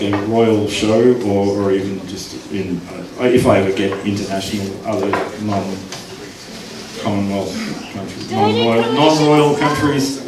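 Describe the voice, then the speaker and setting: A man performing spoken-word poetry live with wry asides between poems, recorded from a distance in an echoey venue with an audience. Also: on the low side. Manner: wry asides, counts down his time aloud. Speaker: poet performing. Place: open-mic venue.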